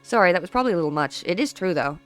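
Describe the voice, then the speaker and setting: A woman's voice: on the low side, animated, rapid-fire, faint music behind, natural voice. A woman voicing an awkward, earnest teenage schoolboy in a visual novel, speaking in a hesitant, friendly way.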